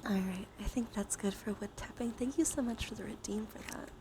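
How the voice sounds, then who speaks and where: A woman streams ASMR in a mid-pitched voice that slips between breathy whispering and soft talk. Her delivery is intimate, soothing and encouraging. Clear background noise from the room sits behind her. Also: a little fast. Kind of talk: ASMR, whispered and softly spoken reassurance. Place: small warm room with computers running. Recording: noisy room ambience behind voice.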